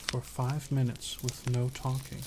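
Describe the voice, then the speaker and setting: An ASMR streamer with a mid-pitched, creaky voice talks slowly and casually, close to the microphones. The delivery rambles and often slips toward a whisper. Loud crinkles and clicks run through the recording. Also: completely flat delivery, very noisy. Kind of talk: casual ASMR livestream chat.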